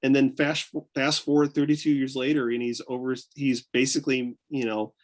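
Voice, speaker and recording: An excited American man with a mixed Southern and Northern accent, chatting as a guest. His voice sits mid-range and swings up and down as he rambles and restarts his thoughts. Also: mid-range voice, a little fast. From upstate New York. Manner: enthusiastic, rambling with many restarts and fillers. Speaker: guest, photographer. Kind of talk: casual interview chat.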